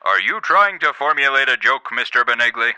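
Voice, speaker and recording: A man playing a pompous, stern president with dry, deadpan insults in a comedy radio play. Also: mid-range voice, a little fast, clear, resonant tone, no room sound, rough recording. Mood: dry, scornful. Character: pompous, stern president giving a secret mission, dryly insulting.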